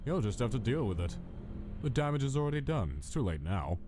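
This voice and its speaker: An actor playing a cold, clinical skeleton scientist with a measured, formal, menacing delivery in a dramatic comic dub. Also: male voice, medium pitch, very animated, close to an everyday voice. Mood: cold menace. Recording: background music under the voice.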